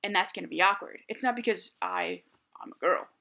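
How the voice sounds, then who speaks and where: Candid higher-voiced man thinking aloud. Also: brisk, vocal fry, bright, forceful tone. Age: 30s. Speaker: vlogger. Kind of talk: reflective personal monologue.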